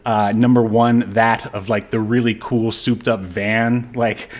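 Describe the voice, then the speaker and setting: A man's voice: lowish voice, level tone, unhurried, lo-fi sound. Rambling laid-back man. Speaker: podcast co-host. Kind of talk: casual chat.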